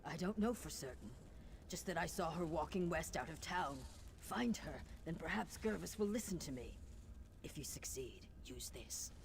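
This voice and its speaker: Calm, cryptic lizard-folk mystic, noisy backdrop. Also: male voice, fairly high pitch, creaky. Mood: earnest. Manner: measured, cryptic, proverb-like speech. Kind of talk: fantasy video game voice acting.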